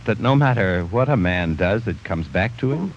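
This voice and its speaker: A man's voice: on the low side, expressive, moderate pace, slight background noise, natural voice. An actor playing an arrogant, bookish man who scorns sentiment, in a 1940s radio drama. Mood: lecturing. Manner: haughty, condescending. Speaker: narrator reading his journal. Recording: muffled 1940s radio broadcast.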